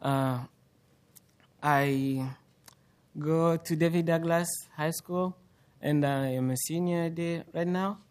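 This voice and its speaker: A man's voice: a little high, fairly even intonation, slow pace, long pauses, a little mellow, clean tone. A teenage young man from Congo with a Congolese accent, a student speaking hesitantly in public. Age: teens. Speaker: high school student giving testimony. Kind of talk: public speech.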